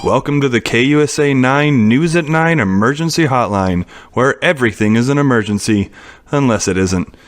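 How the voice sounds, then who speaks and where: An actor playing a long-suffering, matter-of-fact emergency hotline operator in a scripted audio comedy. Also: male voice, medium pitch, normal speed, faint music behind, lightly acted. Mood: brisk, routine. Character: weary, patient male emergency hotline operator.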